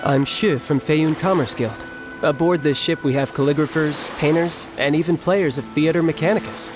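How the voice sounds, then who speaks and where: An actor playing a deferential merchant guild attendant in a video game, speaking politely and formally in measured phrases. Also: medium pitch, lightly acted.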